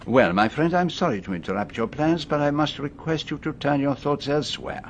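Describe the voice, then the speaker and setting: An actor playing a courteous, commanding middle-aged Belgian detective in a radio drama, with a French-speaking accent and deliberate, theatrical delivery. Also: male voice, a little high, slight background noise, close to an everyday voice. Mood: brisk, authoritative.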